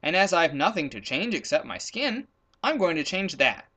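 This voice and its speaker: A man voicing a practical, bossy hunter in a children's storybook, brisk and instructive. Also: fairly high pitch, sing-song, sharp tone, slightly rough, exaggerated voice. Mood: decided. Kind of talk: storybook character voice in a read-aloud.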